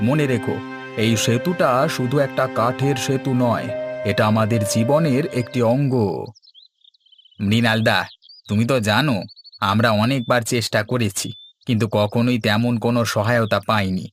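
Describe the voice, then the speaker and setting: A man's voice: medium pitch, fairly even intonation, moderate pace, music in the background, close to an everyday voice. A man voicing a concerned, earnest village man who explains things in scripted cartoon dialogue. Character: concerned village man urging neighbours to work together.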